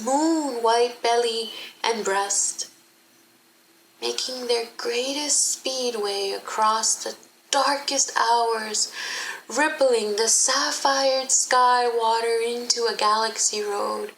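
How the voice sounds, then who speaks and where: Woman, higher voice, dramatic poem recital, very slow and measured, faint background noise. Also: a bit bright, low-quality recording. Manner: deliberate, measured phrasing with pauses. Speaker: performer reading a poem. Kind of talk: dramatic poetry reading.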